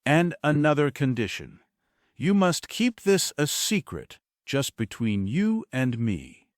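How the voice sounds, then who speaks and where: A man's voice: medium pitch, sing-song, slow pace, no echo, studio quality. A man playing a benevolent but stern God in a stage table read, solemn and theatrical. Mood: solemn warning.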